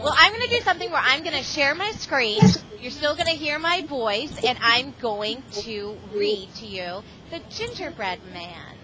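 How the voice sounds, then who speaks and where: A woman's voice: high-pitched, sing-song, moderate pace, hardly any pauses, noisy background. A middle-aged woman reading aloud to a class of children over a video call, exclamatory and often asking them questions. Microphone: video call microphone.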